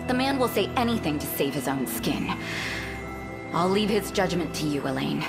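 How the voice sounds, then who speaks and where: Low-voiced stern noblewoman commander. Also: big pitch swings, moderate pace, gravelly, loud music behind the voice. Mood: stern, scornful. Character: stern, shrewd noblewoman war leader. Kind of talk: video game cutscene voice acting.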